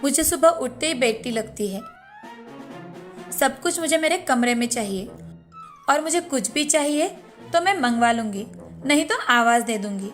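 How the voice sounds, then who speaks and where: A woman's voice: sits high, animated, moderate pace, pauses often, faint music behind. A woman voicing a spoiled, entitled young rich daughter-in-law in a cartoon moral story. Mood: haughty, demanding. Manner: entitled, demanding. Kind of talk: animated moral-story dialogue.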